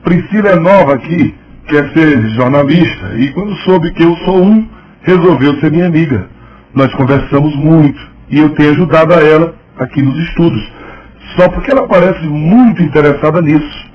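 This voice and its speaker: Guy acting evasive journalist friend in a radio soap, highish voice, dull recording. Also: animated, normal speed, natural voice. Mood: explaining, defensive. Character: male journalist, evasive, gently teasing friend. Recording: dull lo-fi sound.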